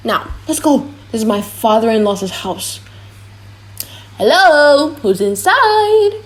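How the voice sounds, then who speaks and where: Young romantic suitor, tender and eager, higher register, for an audiobook play woman performs. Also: animated, takes it slowly. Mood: eager, apologetic. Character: lovestruck young gentleman, eager, tender, hurried. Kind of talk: audiobook drama reading.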